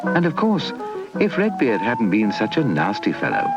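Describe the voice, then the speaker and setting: A British man narrating a scripted children's puppet story in an animated, dramatic way. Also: fairly low pitch, normal speed. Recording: dull lo-fi 1980s VHS tape. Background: music.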